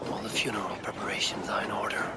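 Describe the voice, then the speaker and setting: Grave Viking warrior under loud music, man acting. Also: fairly low pitch, level tone, quick pace, whispered, natural voice. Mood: quiet, reflective. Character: stoic, tender male Viking warrior. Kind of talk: dramatic video game acting.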